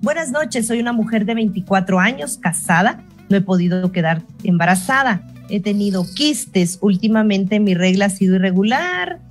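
A woman in her thirties with a Guatemalan Spanish accent, hosting a call-in show and reading listener messages in a clear, measured way. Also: medium pitch, animated, soft background music. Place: home room on a video call. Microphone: earphone mic on a video call.

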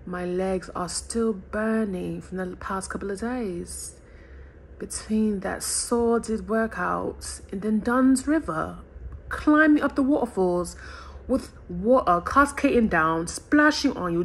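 A woman in her thirties chatting casually to viewers in a solo travel vlog, animated and slangy, with loud bursts of laughter, filmed in a hotel room. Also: mid-range voice, a little slow, roomy sound. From Haitian heritage. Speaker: travel vlogger. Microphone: camera on tripod, some handheld selfie shots.

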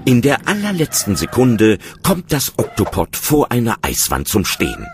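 A man's voice: mid-range voice, huge pitch swings, moderate pace, a little hiss or hum. A man narrating a scripted children's sea adventure as a storyteller. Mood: suspenseful. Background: loud music.

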